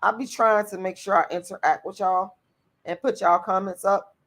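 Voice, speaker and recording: Raspy woman, hosting a stream, reads comments out and talks back blunt and cussing, says stuff twice. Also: mid-range voice, fairly even intonation, moderate pace, fairly bright tone. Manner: blunt and profane, repeats herself; outspoken. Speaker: channel host reading viewer comments. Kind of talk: livestream commentary.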